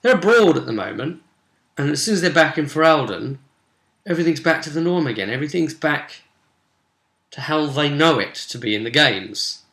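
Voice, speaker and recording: Casting: male game master for casual session recaps, enthusiastic and rambling, sharp bright delivery, audibly echoey room. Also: fairly high pitch, expressive, normal speed, gravelly. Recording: roomy echo.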